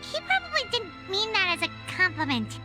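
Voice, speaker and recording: A woman voicing a tiny childlike fairy companion in a video game, cheeky and exclamatory, with a squeaky voice. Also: huge pitch swings, normal speed, full character voice. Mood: wry. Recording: loud music under the voice.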